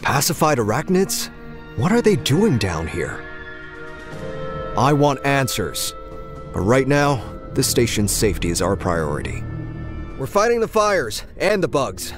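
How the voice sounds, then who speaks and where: An actor playing a dutiful, suspicious infantry captain in a video game, with clipped, gruffly commanding military speech. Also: male voice, mid-range voice, wildly swooping pitch, unhurried, pauses often, over music. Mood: stern, suspicious. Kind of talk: video game dramatic acting.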